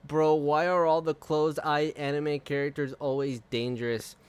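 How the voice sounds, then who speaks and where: A man's voice: fairly high pitch, flat delivery, measured pace, smooth. A man streaming live, chatting casually and rambling with frequent swearing and laughter. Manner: profane, rambling, laughs often.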